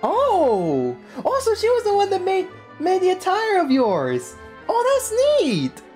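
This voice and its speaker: A man's voice: very high voice, huge pitch swings, slow, over music. A man giving casual, slangy, reactive commentary while playing a video game as a streamer. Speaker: gaming streamer.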